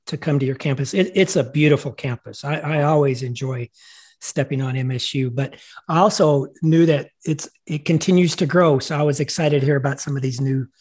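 Male interview host, somewhat high voice, friendly and halting. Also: darkish tone, breathy edge. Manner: friendly, stumbles and repeats words. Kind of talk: casual interview talk.

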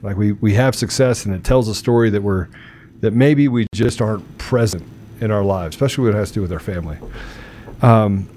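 A middle-aged man telling personal stories in a casual, colloquial talk-show chat, animated in his delivery. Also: fairly low pitch, a little hiss or hum. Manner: animated, colloquial storytelling with sound effects.

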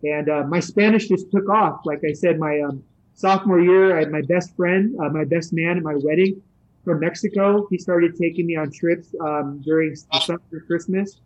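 A man's voice: on the high side, normal speed, muffled recording. A middle-aged man, a Spanish teacher, answering interview questions casually, rambling with many fillers and restarts. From San Francisco area.

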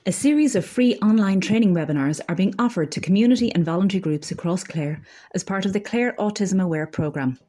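A woman's voice: medium pitch, brisk, dark tone. A woman reading scripted local news as a community radio newsreader.

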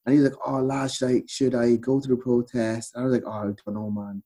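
Mellow Irish man telling stories casually. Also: medium pitch, little pitch movement, brisk. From Ireland. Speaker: podcast guest. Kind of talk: casual chat, storytelling.

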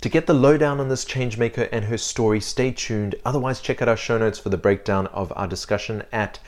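A man's voice: fairly low pitch, brisk. A man hosting a podcast, reading a scripted introduction in an upbeat way. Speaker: podcast host.

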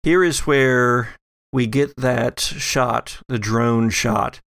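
A man's voice: medium pitch, drawn-out words, creaky, fairly bright tone, no echo, clean studio sound. A man working as game master, narrating an actual-play horror tabletop game, setting scenes descriptively in broken phrases.

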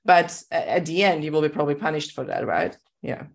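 A podcast host interviewing a guest, encouraging and quick to laugh. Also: mid-range voice, vocal fry. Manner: warm, encouraging, laughs often. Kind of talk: casual interview.

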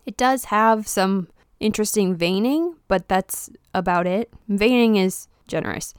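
A woman's voice: medium pitch, expressive, a little slow, smooth, no room sound, clean studio sound. A woman hosting a solo podcast, talking in a chatty, informal way with frequent fillers. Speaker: houseplant podcast host. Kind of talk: casual solo podcast talk.

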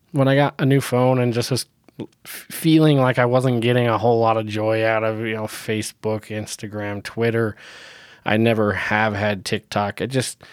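A man's voice: medium pitch, clean tone, dry recording, studio quality. A man hosting a casual solo podcast, sounding nasal from a cold, blunt and opinionated and laughing often. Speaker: podcast host.

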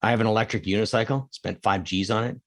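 A middle-aged man from Canada, a coach being interviewed, talking emphatically with rhetorical questions and swings between excited and quiet reflection. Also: fairly low pitch, fast talker. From Canada (Edmonton). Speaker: real estate coach, guest. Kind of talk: casual podcast interview.

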